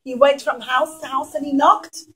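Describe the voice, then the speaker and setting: Children's storyteller: woman, high voice, dramatic delivery, emphatic pauses, questions for young listeners. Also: steady tone, sharp tone.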